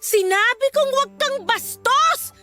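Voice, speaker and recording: Casting: forgiving middle-aged Filipino mother, high voice, maternal and earnest, radio drama. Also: animated, unhurried, sharp tone, faint music behind, heavily acted. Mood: scolding.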